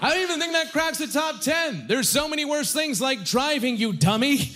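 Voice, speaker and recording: A young man doing a stand-up comedy set on a club stage with a handheld mic. His first language is Aramaic, and he talks with loud energy, often shouting the punchlines. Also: very high voice, expressive, normal speed. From Canada (Nova Scotia).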